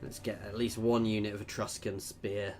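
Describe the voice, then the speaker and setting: A man's voice: lowish voice, little pitch movement, moderate pace, faint music behind. A man giving casual commentary while playing a strategy game, thinking aloud and chatting with viewers. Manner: chatty, thinking aloud, reads out chat messages. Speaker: gameplay video creator.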